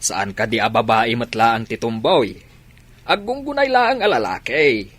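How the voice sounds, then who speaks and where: Reasoning uncle, animated swings in tone, medium-pitched, Ilocano accent, teasing, radio comedy drama. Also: male voice, measured pace, dry recording.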